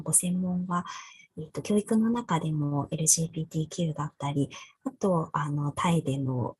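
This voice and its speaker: Fast, husky low-voiced woman moderator. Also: a little mellow. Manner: many "ano" fillers. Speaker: webinar moderator. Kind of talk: polite formal introduction. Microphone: video call.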